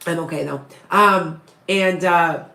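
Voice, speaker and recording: Woman, raspy, head cold, sniffly, short-winded, rambling live-stream chat, echoey room. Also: fairly low pitch, slow, bright, forceful tone. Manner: rambling, restarts often, talks straight to viewers. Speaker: psychic medium live-streaming to followers.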